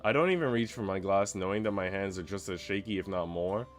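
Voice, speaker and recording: Man, fairly low pitch, casual streamer talk, story reading, profane slang, faint music. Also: a little fast. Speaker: game streamer. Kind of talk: casual chat mixed with reading visual novel narration aloud.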